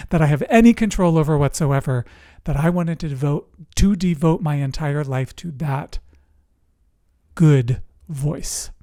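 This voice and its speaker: A resilience coach telling personal stories in a motivational talk, speaking earnestly and deliberately in short phrases with pauses. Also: medium pitch, dark tone, no echo, clean studio sound. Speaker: host, emotional resilience coach.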